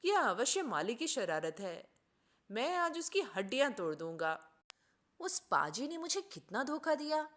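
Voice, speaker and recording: A woman voicing an indignant gentleman doctor from a storybook, in a dramatic, formal, emphatic manner. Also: on the high side, big pitch swings, measured pace. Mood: angry. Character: indignant, melodramatic gentleman doctor, master of an orchard.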